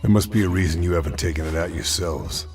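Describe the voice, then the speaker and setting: A man's voice: low-pitched, fast, darkish tone, soft background music, natural voice. A man with an American accent playing a stoic, wry Allied wartime sniper in a game cutscene, speaking in a dry, understated way. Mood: dry, probing. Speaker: video game protagonist. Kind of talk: dramatic game cutscene acting.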